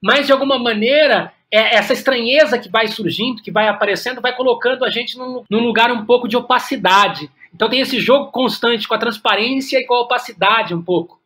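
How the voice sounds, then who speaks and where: Brazilian guy as interview guest, thinking out loud, keeps stumbling and repeating words. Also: very high voice, fairly quick, few pauses. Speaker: guest playwright. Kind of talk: reflective interview conversation.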